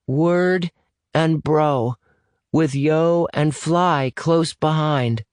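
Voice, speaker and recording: Frightened little boy, played by a male narrator, high and thin, tearful, shaky, for an audiobook. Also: a little mellow, clear, resonant tone, dry recording, clean studio sound. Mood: recovering. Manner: halting, tearful, youthful slang.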